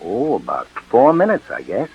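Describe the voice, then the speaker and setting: An overworked American male druggist, talkative but cautious, his mid-pitched voice moving up and down a lot. It is 1940s radio acting on a dull old recording. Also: wildly swooping pitch, moderate pace, slightly rough, full character voice. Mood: obliging, wary. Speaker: radio actor. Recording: muffled old radio broadcast.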